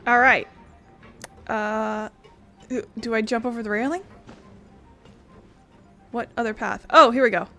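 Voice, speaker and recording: A woman's voice: a little high, fairly even intonation, unhurried, long pauses, bright, forceful tone, soft background music. A woman giving casual, blunt, playful let's play commentary, with some swearing. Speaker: gaming streamer.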